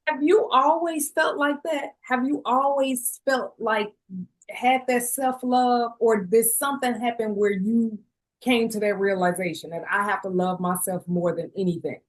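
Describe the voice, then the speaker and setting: A woman's voice: fairly high pitch, a little slow, rough recording. A woman hosting an interview, asking reflective questions and often affirming the guest. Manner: affirming, keeps saying yes. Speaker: podcast host.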